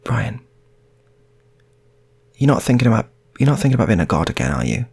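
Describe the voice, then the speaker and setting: A man's voice: fairly low pitch, sing-song, brisk, gravelly, a little mellow, breathy edge, full character voice. A man voicing a worried wife who questions and coaxes her husband in an improvised story. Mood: suspicious. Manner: questioning, coaxing.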